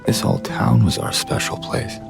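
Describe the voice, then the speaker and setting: A man playing a weary, grieving middle-aged widower searching for his lost wife in a video game, speaking in a hushed, halting, brooding way. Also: natural voice. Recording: loud music over the voice.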